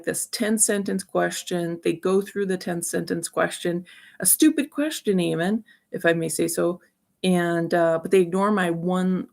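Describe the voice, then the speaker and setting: A woman giving rambling opinion commentary as a video host, speaking haltingly but emphatically, with an aggrieved manner. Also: lowish voice, vocal fry.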